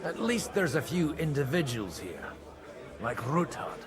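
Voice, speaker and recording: An actor playing a shrewd, worldly priest in a medieval video game, wry and persuasive in manner. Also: male voice, on the high side, sing-song, unhurried, crowd noise. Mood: wry, gossipy. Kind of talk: dramatic video game voice acting.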